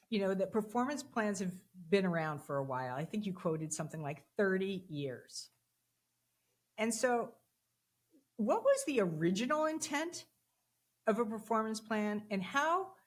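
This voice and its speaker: A woman hosts a podcast interview in a loose, chatty manner. She leans on fillers and repeats words as she frames her questions. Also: medium pitch. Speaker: podcast host. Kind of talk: conversational interview.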